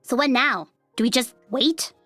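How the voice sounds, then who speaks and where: A woman's voice: sits high, moderate pace, bright, forceful tone, no room sound, soft background music. A woman voicing a sarcastic young female soldier in a video game, sassy and dramatic, given to complaining. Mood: puzzled. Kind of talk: English video game voice acting.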